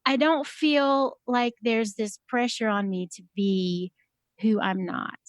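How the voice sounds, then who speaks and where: A woman with a high voice talking casually as a podcast guest, soft-spoken and hesitant, with many fillers. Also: steady tone, slow pace, no room sound. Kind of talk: casual conversation.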